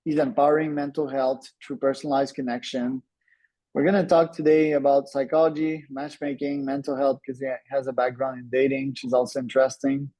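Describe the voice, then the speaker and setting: A man's voice: medium pitch, level tone, a little fast, mellow, low-quality recording. A thirty-year-old man hosting a podcast, introducing the show and chatting conversationally with his guest. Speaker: podcast host.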